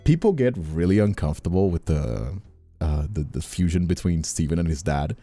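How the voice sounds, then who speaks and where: A man's voice: low-pitched, animated, normal speed, mellow, soft background music. A man who streams and voice acts, chatting casually and bluntly, giving opinions and swearing freely. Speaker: streamer and voice actor.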